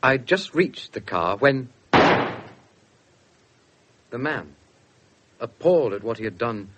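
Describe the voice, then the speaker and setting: An actor playing an English police officer, narrating a radio drama in a measured way. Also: male voice, lowish voice, fairly bright tone, slightly rough, exaggerated voice.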